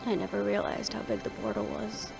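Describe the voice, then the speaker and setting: Actress as guarded young female fantasy adventurer, fry-laden voice, conversational audio drama delivery over music. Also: medium pitch, very animated, brisk, rough recording. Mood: awed, uneasy. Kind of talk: audio roleplay acting. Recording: music behind the voice.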